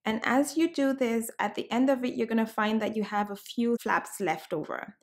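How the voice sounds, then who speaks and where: A woman in her thirties from Cameroon, hosting a cooking tutorial in an upbeat, chatty way and talking straight to her viewers from a bright room at home. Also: on the high side, moderate pace. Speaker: cooking show host.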